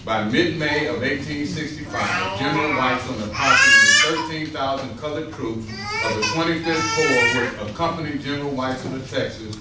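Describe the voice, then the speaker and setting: A man's voice: fairly high pitch, very animated, a little fast. A man narrating history in readers theater, reading a script in a formal, declamatory way. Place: echoing room at a stage rehearsal. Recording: very echoey, noisy room, distant mic.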